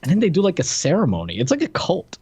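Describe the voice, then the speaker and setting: Animated man chatting on a podcast. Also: high-pitched, quick pace, slightly rough. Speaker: podcast co-host. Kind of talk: casual movie review chat.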